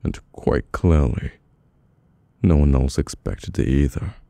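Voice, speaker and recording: An extremely deep, gravelly British mafia boss, acted by a man for an audio roleplay. He is cold, dominant and menacing, giving orders slowly with long deliberate pauses. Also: mellow, no echo, heavily acted. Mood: suspicious, brooding. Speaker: voice actor. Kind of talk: ASMR audio roleplay, slow dramatic monologue.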